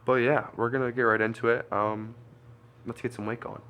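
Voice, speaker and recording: A congested man, a strength coach, chatting casually through a training vlog and thinking out loud. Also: mid-range voice, little pitch movement, moderate pace. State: congested, stuffy nose.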